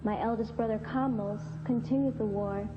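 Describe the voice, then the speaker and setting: A woman's voice: fairly high pitch, steady tone, moderate pace, background noise, close to an everyday voice. A woman playing a young Egyptian royal granddaughter, earnest and spirited, speaking formally in a theatrical historical drama. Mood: curious, indignant. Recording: echoey, thin narrow sound, music behind.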